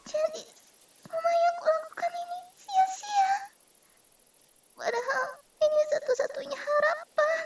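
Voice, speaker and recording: A woman's voice: very high voice, steady tone, unhurried, long pauses. A woman voicing an earnest female spirit plotting to free her kind in a comic dub, recorded in a roomy space. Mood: dismayed, despairing. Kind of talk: Indonesian comic dub acting. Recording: roomy, distant microphone.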